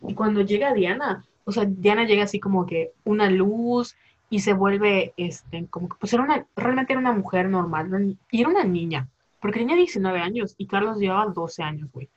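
A woman's voice: medium pitch, brisk, lo-fi sound. Chatty Mexican woman in her twenties. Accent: Mexican Spanish. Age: 20s. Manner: rambling, animated, heavy slang and fillers. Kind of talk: casual chat.

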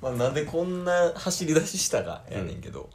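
A man's voice: on the high side, huge pitch swings, brisk, gravelly, darkish tone. A man with a Kansai accent co-hosting casual comedy free talk, with blunt, teasing straight-man retorts. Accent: Kansai Japanese. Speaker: co-host of a two-man comedy talk show.

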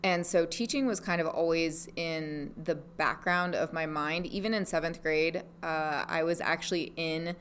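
A woman's voice: sits low, unhurried, hardly any pauses, fairly bright tone, a little hiss or hum. A woman in her thirties from Hawaii chatting casually as a podcast guest, with a wry, self-mocking way of talking. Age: 30s.

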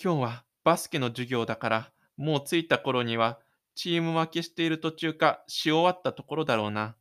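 Male actor as lonely, stubborn teenage schoolboy; higher-set voice, brooding, self-pitying audio-drama monologue. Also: moderate pace, a bit bright, smooth, close to an everyday voice. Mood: resigned.

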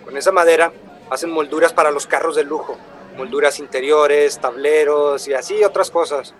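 An actor playing an earnest, polite traveling wood buyer who explains himself eagerly in a radio drama. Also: male voice, on the high side, normal speed, close to an everyday voice. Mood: patient explaining. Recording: crowd murmur of a café behind.

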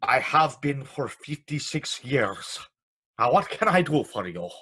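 Guy acting a fussy polite older clock shop man, raspy, slow, old radio play. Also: medium pitch, very animated, a bit bright, full character voice. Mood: courteous, fussy. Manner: polite, hesitant, repeats himself. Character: courteous, fussy 56-year-old male clock repairer shopkeeper. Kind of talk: vintage radio drama acting.

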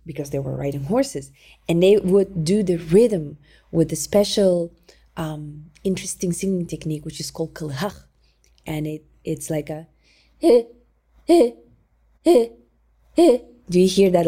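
Husky low-voiced woman, calm and gentle. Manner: calm and gentle, halting with many fillers; non-native English phrasing. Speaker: singing teacher. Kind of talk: conversational interview.

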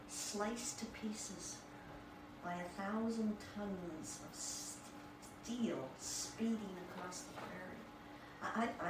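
A woman talking informally to a group and reading book passages aloud, with halting, admiring asides. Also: on the low side. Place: echoey room with a small group present. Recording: echoey room, distant mic, clear background noise.

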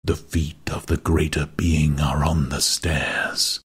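A man voicing a solemn, disembodied supernatural being in a dramatized audiobook, speaking slowly with deep yearning and command. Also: level tone, husky, exaggerated voice. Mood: hushed, ominous. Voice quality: deep, rich, vibrant. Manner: slow, drawn out, sinking to a whisper.

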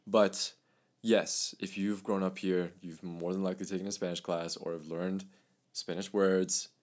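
A young man with a very low voice talks through a relaxed podcast chat. He rambles with fillers and laughs easily. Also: normal speed. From Mexico. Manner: chatty, laughs often, many fillers. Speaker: podcast co-host. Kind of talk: casual chat.

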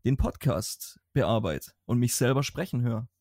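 A man's voice: medium pitch, animated, unhurried, a little mellow, no echo. Chatty Swabian man, dry close sound. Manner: rambling, restarts sentences, chatty. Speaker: podcast co-host. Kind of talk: casual podcast chat.

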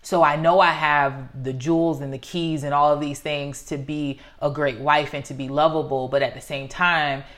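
Low-voiced earnest woman in her thirties. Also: steady tone, normal speed, hardly any pauses, fairly bright tone. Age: 30s. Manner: earnest, reflective, acts out quoted voices. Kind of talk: casual conversation.

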